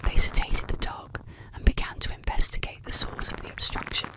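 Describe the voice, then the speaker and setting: An ASMR storyteller narrating scripted tales in a breathy, unvoiced whisper, with soft, deliberate phrasing. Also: low-quality recording.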